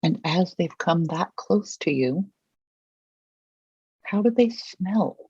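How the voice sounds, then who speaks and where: Guide for a visualization exercise: very slow, mellow and husky, gentle prompting, long pauses, dry dull recording. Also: mid-range voice, expressive, no room sound.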